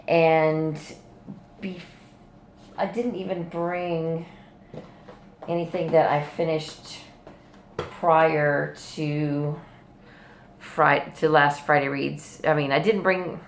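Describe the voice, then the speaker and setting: A woman's voice: low-pitched, steady tone, drawn-out words, pauses often, echoey room, slight background noise. A woman chatting casually to viewers as a book vlogger, rambling hesitantly, often trailing off and backtracking. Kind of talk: casual rambling video chat. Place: hospital room.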